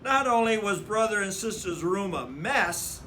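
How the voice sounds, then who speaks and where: Slow-paced man reading a children's story. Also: high-pitched, lively intonation, noisy background. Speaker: storybook reader. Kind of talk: read-aloud children's story narration.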